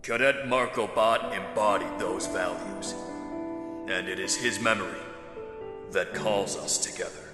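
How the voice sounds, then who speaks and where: A man playing a solemn, steady military commander in a sci-fi anime parody. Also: lowish voice, a little slow, roomy sound, big-room sound, loud music behind the voice, lightly acted. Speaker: fleet commander.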